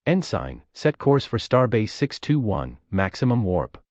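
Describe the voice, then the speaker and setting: A man's voice: on the low side, sing-song, measured pace, a little mellow, no echo, lo-fi sound. A man playing a composed, commanding starship captain with terse delivery in a science fiction audio drama. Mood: composed, resolute.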